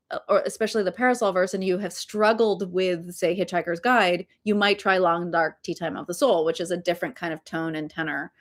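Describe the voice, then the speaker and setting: Middle-aged woman, casual chatty author recommending books, mid-range voice, hesitant ums. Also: medium pitch. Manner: chatty, with frequent ums and self-mocking asides. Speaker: author talking to her readers. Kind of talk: casual book recommendation talk.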